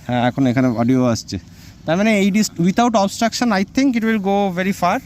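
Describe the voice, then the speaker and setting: A man's voice: high-pitched, big pitch swings, racing, a little mellow, a little hiss or hum. A man with a Bengali Indian accent, a native Bengali speaker, giving an informal hands-on product demonstration as a vlogger. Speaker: vlogger presenting a product test.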